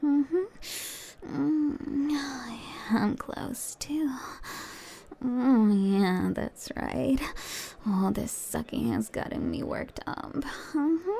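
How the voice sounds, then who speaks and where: A woman in a whispered ASMR roleplay, speaking in a soft, coaxing whisper broken up by hums. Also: high-pitched, big pitch swings, extremely slow, creaky, slightly rough.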